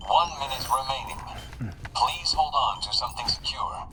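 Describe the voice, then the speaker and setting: An actor voicing an impassive automated lab system in a game, giving measured, impersonal instructions. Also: male voice, medium pitch, expressive, sharp tone, faint music behind, heavily acted. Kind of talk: video game announcement. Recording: distant speaker, noisy ambience.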